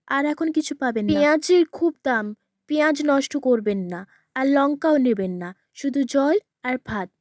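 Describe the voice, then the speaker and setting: A woman's voice: high voice, dry recording, studio quality, lightly acted. Brief: bossy cartoon daughter-in-law, put on by a woman, commanding and threatening.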